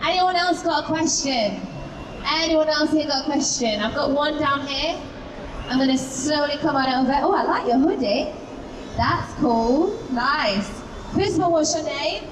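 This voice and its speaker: A woman's voice: high-pitched, expressive, a little slow. A woman hosting a live question session on stage, chatty and encouraging with the audience. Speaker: event host. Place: large indoor event hall. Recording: echoey noisy event hall, distant microphone.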